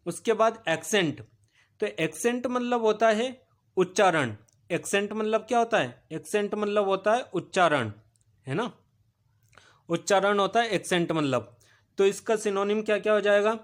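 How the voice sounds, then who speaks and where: A male teacher, giving a recorded vocabulary lesson. He repeats each meaning as a question, answers it himself, and pauses on "uh". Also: sits high. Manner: steady explaining pace with filler "अ" pauses.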